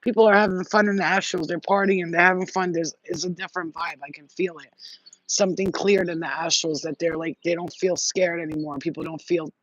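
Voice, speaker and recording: A man talks loosely to his live-stream viewers, giving them spiritual readings. He drifts from story to story in a rambling, excitable way and swears freely. His voice sits a little higher than most men's. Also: fairly quick, dull audio. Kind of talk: casual live-stream chat and intuitive reading. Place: outdoors near trees.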